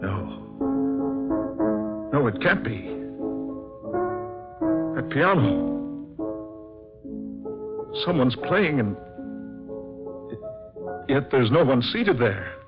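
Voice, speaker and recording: An actor playing a wealthy, haunted murderer with formal, dramatic diction in a 1940s radio horror melodrama. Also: male voice, mid-range voice, very animated, extremely slow, long pauses. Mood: haunted, fearful. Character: wealthy haunted male murderer, suave but anxious. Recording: muffled vintage radio recording, music behind.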